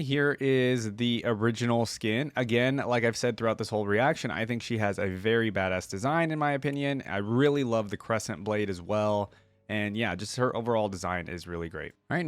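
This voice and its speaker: A man's voice: lowish voice, no echo. A man hosting a reaction video, giving informal, enthusiastic commentary and thinking aloud as he reads and looks things over. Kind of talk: casual reaction commentary with some reading aloud.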